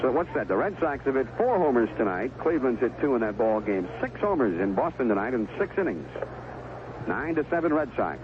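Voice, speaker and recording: Animated baseball announcer, tinny old radio. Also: male voice, mid-range voice, fairly quick. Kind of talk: live radio baseball commentary. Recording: narrowband noisy 1970s AM radio broadcast.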